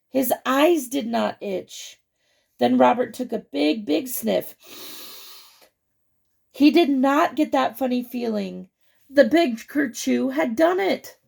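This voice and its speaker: A woman reading a picture book aloud to children, with chatty, expressive asides and questions to the young listener. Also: high voice, vocal fry.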